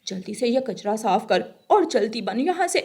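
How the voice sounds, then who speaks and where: A woman's voice: on the high side, sing-song, normal speed, warm tone, breathy edge, exaggerated voice. A woman voicing a rich, cruel female bird employer, bossy, scolding and contemptuous, in a cartoon story. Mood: angry.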